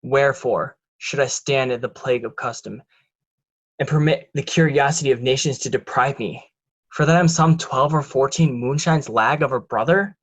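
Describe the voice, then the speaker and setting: A man playing a scheming, resentful illegitimate son in a deliberate, declamatory Shakespearean drama reading. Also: mid-range voice, close to an everyday voice. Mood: bitter, defiant. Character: scheming, resentful bastard son, smooth deceiver.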